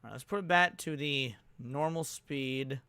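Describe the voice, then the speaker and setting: A man's voice: medium pitch, moderate pace, pushed and bright, clean tone, no echo. A man giving casual live commentary while he works, muttering to himself and thinking aloud. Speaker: reaction host.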